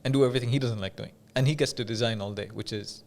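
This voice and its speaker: A man from Dubai speaking as an interview guest, telling stories in a measured, conversational way with pauses and repeated phrases for emphasis. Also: mid-range voice, gravelly, a little mellow. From Dubai, UAE. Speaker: podcast guest, business coach.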